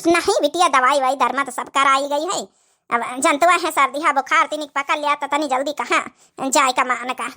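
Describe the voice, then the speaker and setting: A woman's voice: high voice, normal speed, sharp tone. A woman voicing an ailing, self-sacrificing old village mother in an Awadhi comedy cartoon, plaintive and soothing.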